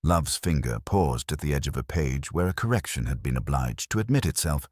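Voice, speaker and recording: A man narrating a literary mystery from a script in a measured, wry manner. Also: extremely low pitch, animated, a little mellow, husky, no echo, studio quality. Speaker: audiobook narrator.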